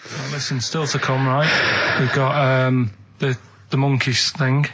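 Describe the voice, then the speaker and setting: A man with a northern English Manchester accent chatting casually on radio in a deadpan, stubborn, rambling way. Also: mid-range voice, measured pace, husky. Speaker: radio show co-host.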